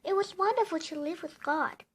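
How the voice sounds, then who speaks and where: A woman's voice: very high-pitched, moderate pace, a bit bright. A female reader narrating a children's bedtime story aloud.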